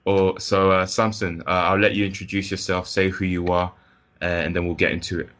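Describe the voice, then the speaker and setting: A young British man hosting a podcast in a relaxed, casual way, with loose phrasing. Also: sits low, brisk. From Britain. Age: young adult. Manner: relaxed, loose, with fillers and restarts. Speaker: podcast host.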